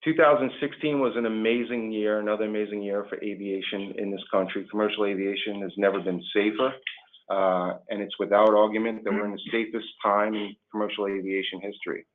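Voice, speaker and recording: A man's voice: lowish voice, fairly even intonation, fairly quick. A man speaking earnestly as a union leader guest on a policy webinar, repeating phrases for emphasis, over a tinny phone-like line. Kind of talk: prepared remarks and answers on a policy webinar.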